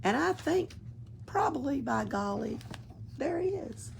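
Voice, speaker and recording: Slow, very expressive woman reading a storybook. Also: sits high, creaky, slight background noise. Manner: expressive, playful delivery with asides. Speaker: story reader at a library. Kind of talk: children's storybook read-aloud. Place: library.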